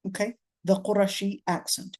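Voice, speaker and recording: A woman's voice: mid-range voice, flat delivery, normal speed, a little mellow. A middle-aged woman teaching a lecture on Quran commentary, explaining step by step and often checking in with "okay?". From Palestine. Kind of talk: classroom lecture.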